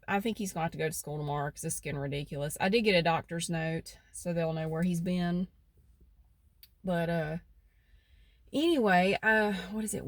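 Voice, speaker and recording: A woman's voice: on the low side, no echo. Chatty North Carolina woman, dry close sound. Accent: central North Carolina. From central North Carolina. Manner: chatty, rambling, folksy. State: stuffy nose. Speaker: vlogger. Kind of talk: casual vlog chat. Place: outdoors by her house.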